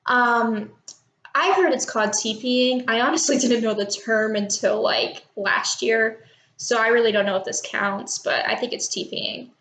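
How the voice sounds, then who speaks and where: An 18-year-old woman from Texas, chatting casually to camera and reading out questions and a word list, unsure and filling pauses with ums. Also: a little high, a bit bright, reverberant. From Texas, United States. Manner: chatty and unsure, many ums and little laughs. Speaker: vlogger doing an accent tag.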